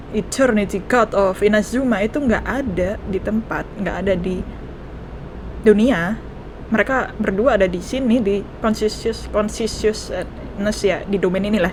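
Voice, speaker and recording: Indonesian woman streamer over background noise. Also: mid-range voice. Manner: repeats herself, switches between two languages. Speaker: game streamer. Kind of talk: casual let's play commentary.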